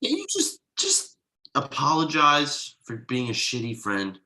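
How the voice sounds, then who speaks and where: Man acting exasperated young dad, bright and animated. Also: a little high, measured pace, exaggerated voice. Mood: indignant, angry. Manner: stammering, incredulous, emphatic. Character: exasperated young father, wronged friend and ad copywriter. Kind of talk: conversational comedy table read.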